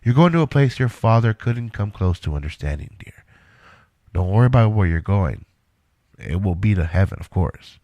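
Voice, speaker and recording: An actor playing a controlling, self-assured middle-aged inventor in an audio drama, speaking in a measured, instructive way. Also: male voice, fairly low pitch, very animated, a little mellow, no room sound. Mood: reassuring.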